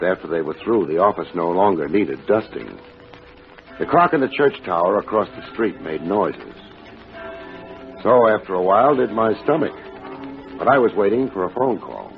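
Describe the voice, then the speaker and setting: Old radio detective, american, low voice that moves around a lot, dry wisecracks, muffled recording. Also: male voice, normal speed. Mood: wry, brooding. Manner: deadpan, wisecracking. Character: wry, unflappable, soft-hearted private detective. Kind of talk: 1950s radio detective drama acting. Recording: dull old radio recording, music behind.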